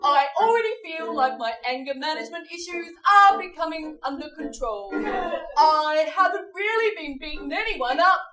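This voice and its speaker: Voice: very high-pitched, lively intonation, moderate pace, echoey room, over music, poor audio. A woman voicing a chirpy young delinquent puppet, earnest and over-sweet, in improvised comedy. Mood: falsely sweet.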